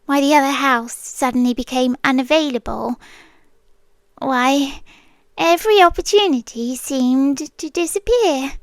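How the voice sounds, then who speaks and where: A possessive monster girlfriend, played by a woman, speaks in a high British voice. She goes slowly and softly, often sinking into a whisper, with obsessive, protective devotion. It is an ASMR roleplay, recorded close and very dry. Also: no echo, full character voice. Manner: slow, hushed, often dropping into whispers. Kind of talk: ASMR roleplay, intimate soft-spoken monologue. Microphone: close ASMR microphone, dry sound.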